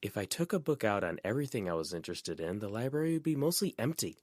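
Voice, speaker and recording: A man voicing a curious, eager teenage girl who is quick to protest. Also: on the low side, very animated, darkish tone, dry recording, studio quality, voice pushed up. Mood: defensive.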